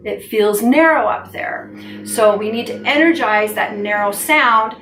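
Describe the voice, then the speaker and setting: A woman's voice: on the high side, measured pace, recorded from a distance, faint music behind. Middle-aged female vocal coach, encouraging teacher, a bit off-mic in an echoey room. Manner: warm encouraging teacher, gestures with hands while explaining. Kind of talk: instructional video lesson. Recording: roomy sound, a little off-mic.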